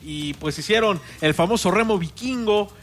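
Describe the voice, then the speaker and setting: A man's voice: sits high, moderate pace, a bit bright. A man with a Mexican accent hosting a radio sports show in casual chat, chatty with fillers and restarts. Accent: Mexican Spanish. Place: radio studio.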